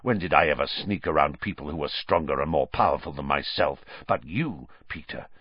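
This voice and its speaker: A man's voice: extremely low pitch, lively intonation, breathy edge, no echo, dull audio, pitched below the performer's own voice, full character voice. A man reading an audiobook story, voicing a bitter, vengeful male fugitive with a growling, hissing, contemptuous delivery. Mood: angry. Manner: slow, taunting, contemptuous.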